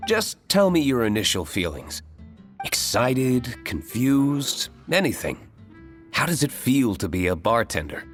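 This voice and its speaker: A man's voice: medium pitch, big pitch swings, unhurried. A man voicing a reserved young male tavern owner, composed and curt. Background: faint background music.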